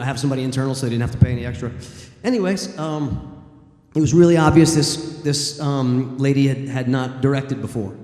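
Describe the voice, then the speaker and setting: A man, a voice actor on a convention panel, telling a long studio anecdote in a relaxed, rambling way. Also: medium pitch. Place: large convention hall. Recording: echoey hall with long reverb.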